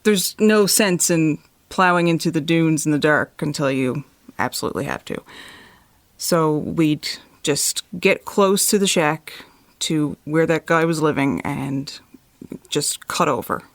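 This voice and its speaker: A woman telling her account in a slightly low voice, very close and dry. She goes slowly and haltingly, searching for words, like natural testimony in an audio drama. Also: dry recording. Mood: hesitant, recollecting. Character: grandchild recounting a night drive, giving testimony.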